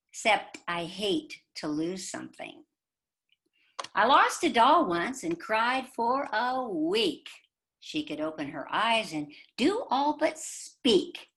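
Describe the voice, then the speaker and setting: A woman's voice: medium pitch, a bit bright. A woman telling a joke and reciting a poem expressively for a community readers theater show over a video call.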